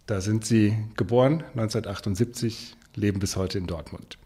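A male radio host reading a scripted introduction, then interviewing a guest in measured tones. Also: lowish voice, mellow, husky. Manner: measured, sentence prompts.

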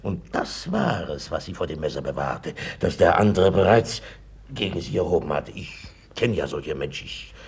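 A fawning, scheming man in a radio drama, with a low, very husky voice that swings widely in pitch. He talks in servile, wordy flourishes, always insinuating some secret. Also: lively intonation, normal speed, full character voice. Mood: servile, insistent. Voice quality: gravelly, husky. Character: fawning, gossipy, obsequious host and schemer. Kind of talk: German radio play acting.

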